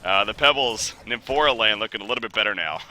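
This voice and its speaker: A man casting an online game match, calling plays with excitement and joking with his co-caster. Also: quick pace, a little hiss or hum, low-quality recording. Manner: excitable, joking, rising pitch on big plays. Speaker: esports caster. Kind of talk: play-by-play game commentary.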